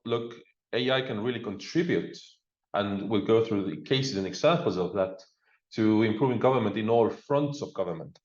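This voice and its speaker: A man with an Estonian accent gives an informal, conversational presentation as a remote guest speaker. His voice sits on the low side. The sound is slightly dull and muffled, with clear room echo around it. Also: a little mellow. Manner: informal, uses frequent discourse markers like "look" and "you know". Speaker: guest speaker, digital government expert. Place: speaking remotely over a video link.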